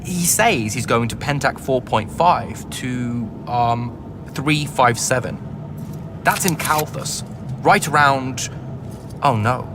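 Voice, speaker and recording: A man's voice: mid-range voice, slow pace, music in the background, natural voice. A man playing a pompous, verbose and sarcastic battle mage in a video game cutscene. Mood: alarmed, fretful. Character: glib, pompous, witty male battle mage.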